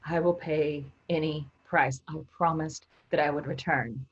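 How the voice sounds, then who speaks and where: Woman acting a husky, determined, grieving underworld traveller. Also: low voice, steady tone, measured pace, highs cut off. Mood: desperate. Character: determined grieving woman journeying through land of the dead. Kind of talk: staged reading with dramatic narration.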